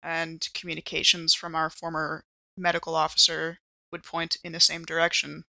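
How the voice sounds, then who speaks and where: A woman's voice: deep voice, monotone, moderate pace, gravelly, pushed and bright, dry recording, rough recording. A woman playing in a tabletop role-playing podcast, improvising in casual table talk.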